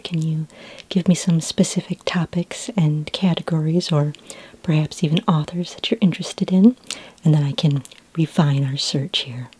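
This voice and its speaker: Friendly sci-fi library clerk female performer, ASMR roleplay: dark, breathy, half-whispered, gentle and patiently helpful. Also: on the low side, poor audio. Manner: gentle, hesitant, unhurried, helpful. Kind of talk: soft-spoken ASMR roleplay.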